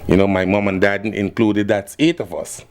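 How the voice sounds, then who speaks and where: Laid-back, low-voiced Guyanese man. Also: normal speed. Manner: relaxed, laid-back, repeats "yeah, man". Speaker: guest musician. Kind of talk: casual interview.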